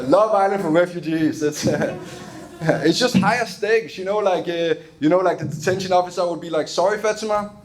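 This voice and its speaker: A young man from Denmark with a Danish accent, doing a loose, chatty stand-up set on a club stage and laughing at his own jokes. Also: sits high. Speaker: stand-up comedian.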